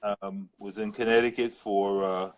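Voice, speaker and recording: An older man speaks slowly, in a fairly low voice. He answers interview questions haltingly, pausing often and hesitating over his words. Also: level tone. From New York City. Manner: slow, halting, many hesitations. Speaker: guest. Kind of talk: casual interview.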